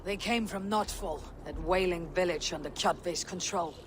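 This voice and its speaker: An actress playing a fierce, loyal young Viking warrior in a video game, speaking tersely and solemnly. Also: female voice, fairly low pitch, moderate pace, a little hiss or hum, lightly acted. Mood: grim. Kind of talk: video game cutscene acting.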